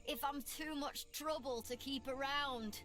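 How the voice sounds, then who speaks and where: High voiced sardonic game warrior lady, takes her time, wounded and reckless. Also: measured pace, fairly bright tone. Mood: sardonic, defiant. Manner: sardonic, swearing. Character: gruff, reckless, sharp-tongued wounded female fighter. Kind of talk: video game cutscene acting. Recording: dramatic music behind.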